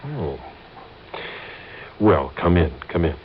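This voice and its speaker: An American actor playing a cocky, menacing gangster in a 1950s radio crime drama, slangy, smug and threatening. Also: male voice, sits low, very animated, slow pace, noisy background, full character voice. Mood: smooth, sly. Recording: muffled old radio recording.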